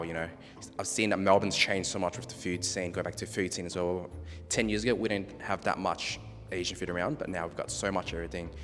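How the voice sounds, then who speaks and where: Very deep-voiced reflective man. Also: a bit bright, slight background noise. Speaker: panel guest. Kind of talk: conversational panel discussion. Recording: long reverb, faint background music.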